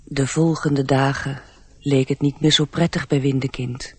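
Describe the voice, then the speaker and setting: An actor playing a measured storybook narrator in a radio drama. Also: male voice, medium pitch, flat delivery, a little mellow, slightly rough, no room sound. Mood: grave, storytelling.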